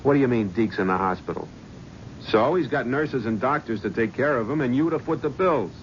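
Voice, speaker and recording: A man's voice: medium pitch, expressive, close to an everyday voice. An actor playing a brash, ruthless male talent agent with a New York accent in a 1970s radio drama. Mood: bullying. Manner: brash, hard-edged, fast-talking hustler. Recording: dull lo-fi old radio broadcast with faint hiss.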